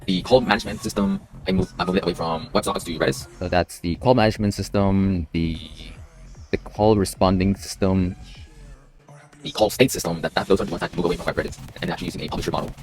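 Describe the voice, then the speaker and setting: A man talking casually on a live stream as a developer, in a halting way, often repeating and restarting his phrases. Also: low-pitched, fairly even intonation, darkish tone, soft background music, rough recording. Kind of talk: casual live stream talk about a coding project.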